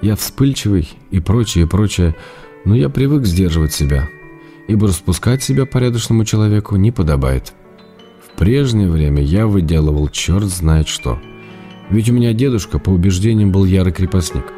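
A low, warm male voice over gentle music: a writer husband in a radio play of intimate letters. He is affectionate and self-restrained. His delivery is measured, with a wry edge. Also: lively intonation. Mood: wry, reassuring.

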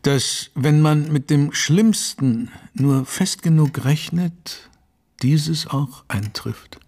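Grave period gentleman for radio drama: slow, husky, mid-pitched, courteous, formal and troubled. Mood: brooding, troubled. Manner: measured, formal, brooding. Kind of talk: German radio play acting.

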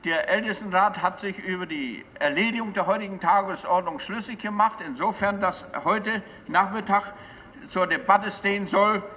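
Male chairman, bright high voice, formal agenda announcements in a reverberant hall. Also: moderate pace. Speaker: session chairman. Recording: noisy, reverberant hall recording.

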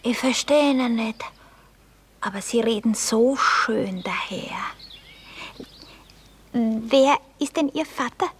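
Casting: guileless young Austrian country girl, highish voice, unhurried and admiring, radio play with slight noise. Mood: shy, admiring. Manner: simple, wondering, guileless. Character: naive, kindly, admiring poor young goose girl. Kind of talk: classic Viennese stage comedy radio acting.

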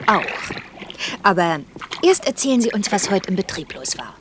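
An actress plays a polite, reserved wife and mother in an intimate radio play. Her medium-pitched voice is hesitant and softly questioning. Faint noise sits behind it. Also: sing-song, normal speed, close to an everyday voice. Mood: playful, prodding. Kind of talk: intimate German radio drama acting.

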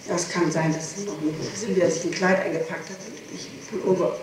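A woman's voice: lowish voice, steady tone, a little fast. Woman, emphatic repetitive answers under questioning, very echoey room, distant from the mic, noisy vintage tape. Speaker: suspect under interrogation.

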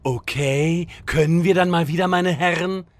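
An actor playing a self-absorbed, evasive mage with a fussy, dry manner in a comedy audio drama. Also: male voice, a little high, steady tone, moderate pace, dry recording, close to an everyday voice.

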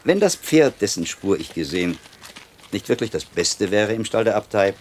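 A shrewd master monk explains things in a low, very animated voice. He is self-assured, teasing and didactic, in radio drama style. Ambient sound runs behind him. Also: moderate pace. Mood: wry, lecturing. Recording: clear ambient noise behind voice.

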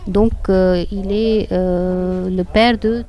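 Mellow-voiced woman from northern India. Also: mid-range voice, fairly even intonation, extremely slow. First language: Hindi. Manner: hesitant, many fillers and restarts. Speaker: guide and interpreter. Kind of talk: conversational explanation. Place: outdoor public gardens.

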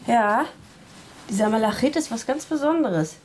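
A woman's voice: a little high, animated, normal speed, darkish tone, a little hiss or hum, close to an everyday voice. An actress playing a chatty, self-assured spiritual woman in a naturalistic radio drama, with colloquial, gushing delivery. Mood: breezy, caring. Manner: colloquial, gushing, chuckling between phrases.

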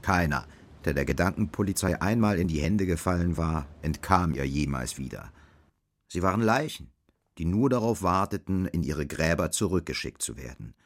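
A low-pitched male narrator tells a dystopian radio story. His delivery is sober, measured and detached, with the shape of scripted literary prose. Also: animated. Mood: grave, detached.

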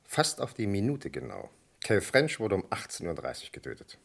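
An actor playing a self-assured, dry police doctor with a brisk, gravelly delivery in a vintage crime radio play. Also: male voice, fairly low pitch, huge pitch swings, heavily acted. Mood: dry, certain.

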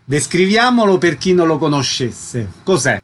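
A man's voice: fairly high pitch, sing-song, normal speed, a little hiss or hum. A man hosting a radio interview with prepared questions and announcements.

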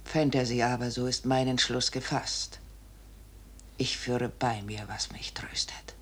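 A devoted noblewoman full of dread in a vintage radio play. Her delivery is very unhurried, solemn and often hushed, declaimed like stage verse. Faint noise lies on the old recording. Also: extremely low pitch, steady tone. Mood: grimly resolved. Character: fearful, devoted, foreboding noblewoman, resolute in dread. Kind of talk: 1950s German radio drama stage acting. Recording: noisy 1950s radio recording.

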